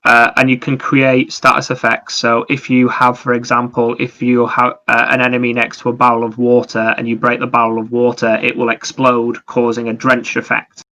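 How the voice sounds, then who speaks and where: A man's voice: mid-range voice, monotone, normal speed, few pauses, pushed and bright. A man co-hosting a podcast, casually explaining video games in detail. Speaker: podcast co-host. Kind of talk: casual video game discussion.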